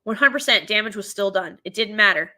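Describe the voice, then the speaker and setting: A woman's voice: medium pitch, fast talker. A woman hosting a commentary show, talking opinionatedly and stuffy with a cold, dropping into mocking impressions now and then. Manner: animated, mocking impressions in asides. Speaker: show host.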